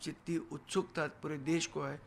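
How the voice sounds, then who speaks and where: Male party spokesman, higher-set voice. Assertive news-interview answers, light ambient noise. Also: flat delivery, moderate pace, creaky. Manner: assertive, defiant, emphatic repetition. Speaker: political party leader and spokesman. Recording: noisy location sound, background ambience.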